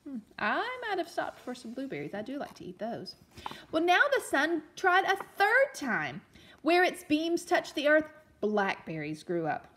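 A woman reading a storybook aloud to young children as a teacher, expressively, pausing to explain and ask questions. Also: high-pitched, big pitch swings, a bit bright, a little hiss or hum. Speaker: elementary teacher reading a picture book aloud.

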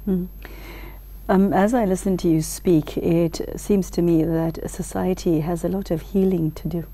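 A middle-aged woman interviewer putting reflective questions in careful, pausing phrases. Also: lowish voice, fairly even intonation, dark tone. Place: large library hall, indoors.

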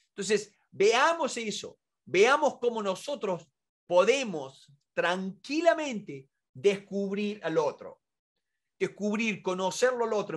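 A man's voice: sits high, a bit bright. A man in his forties with an Argentine Spanish accent gives an informal lecture to camera, emphatic and full of rhetorical questions. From Córdoba, Argentina. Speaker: negotiation expert and instructor. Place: indoor room, seated at a desk.

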